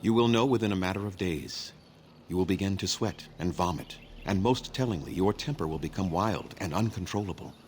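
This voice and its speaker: An actor playing a grave, weary elven clan leader in a fantasy video game, speaking formally and solemnly in measured phrases. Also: male voice, low voice, a little mellow, slight background noise, lightly acted. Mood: solemn warning. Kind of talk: scripted video game dialogue.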